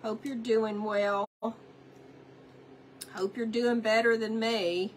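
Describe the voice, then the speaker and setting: A woman's voice: a little high, fairly even intonation, slow pace, slight background noise, low-quality recording. A woman, sounding stuffed up from a cold, chatting casually to viewers while she works on a craft. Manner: chatty, talks to herself while working, greets viewers by name. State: congested from a cold. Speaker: craft livestream host. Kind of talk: casual craft livestream chat.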